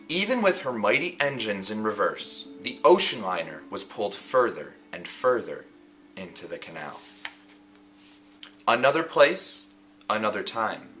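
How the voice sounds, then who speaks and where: A man hosts a storybook read-aloud in a lowish, very animated voice. He phrases each line dramatically and deliberately, leaving emphatic pauses. Faint music plays behind him. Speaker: host reading a picture book aloud and setting a writing challenge.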